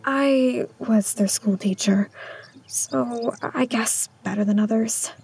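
A woman playing a reserved, uneasy small-town schoolteacher in an audio drama, speaking in a hesitant, hushed way. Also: fairly high pitch, slow, gravelly, breathy edge, heavily acted. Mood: uneasy, guarded. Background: music.

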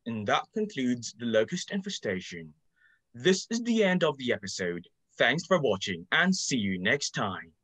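A student voicing a calm, formal documentary narrator in a school radio drama. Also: mid-range voice, normal speed, slightly rough, dry recording, pitched below the performer's own voice, rough recording.

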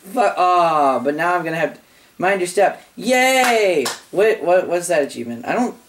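A host playing a horror game, scared and swearing, with a voice that is wearing out from yelling. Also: mid-range voice, lively intonation, moderate pace, slight background noise. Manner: frightened, swearing, bursts of yelling at enemies. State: voice giving out. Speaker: gaming channel host. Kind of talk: live let's-play commentary. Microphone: gaming mic.

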